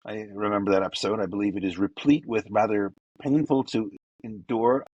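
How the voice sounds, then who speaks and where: A man with a low, husky voice hosts a fan podcast, piling praise into casual shout-outs. His delivery is effusive and rambling, padded with fillers. The sound is dry and close, with no room around it. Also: fairly even intonation, brisk, no echo, highs cut off. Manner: effusive and enthusiastic, loose with fillers. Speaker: podcast host.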